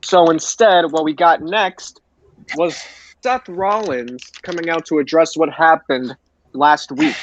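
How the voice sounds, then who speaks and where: A man's voice: a little high, takes it slowly, slight background noise. A man co-hosting a podcast, giving an informal recap on a lo-fi recording. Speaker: podcast co-host. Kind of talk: informal recap of wrestling show.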